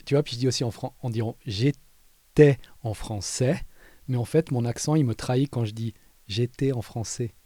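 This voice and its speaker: Man, light Valais Swiss French accent, warm dark tone, dry sound, hesitant, filler words. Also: mid-range voice, moderate pace, no echo. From Valais, Switzerland. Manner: thoughtful, hesitant, many fillers. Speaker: interviewee. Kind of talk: casual street interview.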